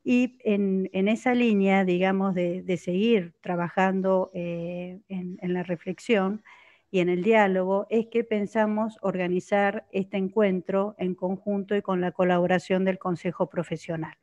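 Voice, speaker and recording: An Argentine woman opens an online panel as organizer, speaking haltingly with many fillers. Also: mid-range voice, fairly even intonation, a little mellow. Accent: Argentine Spanish. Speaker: organizer, host. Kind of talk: opening remarks at an online panel. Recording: dull lo-fi sound.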